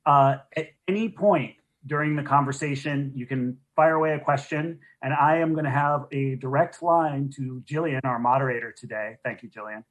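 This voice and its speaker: A man's voice: mid-range voice, normal speed, hardly any pauses, poor audio. A man speaking as a program director, giving an informal welcome and housekeeping notes as co-host of an online event on a video call.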